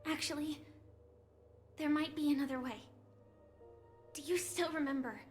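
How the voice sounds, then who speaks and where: A woman playing a gentle, resolute young warrior in an anime game, speaking earnestly and solemnly in a measured way. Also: high-pitched, steady tone, soft background music, close to an everyday voice.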